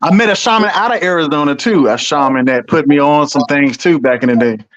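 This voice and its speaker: A man's voice: a little high, a little fast, muffled recording, a loud crowd. A man from South Carolina who reads tarot, talking casually on a panel and laughing often in loose slang. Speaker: tarot reader.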